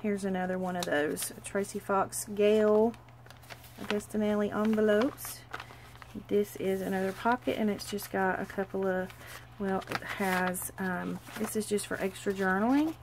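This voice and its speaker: A woman's voice: medium pitch, steady tone, noisy background. Stuffy, gushing woman crafter. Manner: gushing, chatty, hurried. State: stuffy from a cold. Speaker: crafter showing a handmade journal. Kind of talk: casual craft walkthrough.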